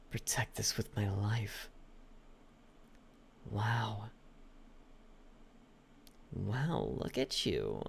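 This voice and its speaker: A man playing a possessive, lovesick demon butler in an intimate ASMR roleplay, coaxing with a halting delivery and lingering pauses. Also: on the low side, creaky, a little hiss or hum, full character voice. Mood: breathy, adoring, then smitten, intimate.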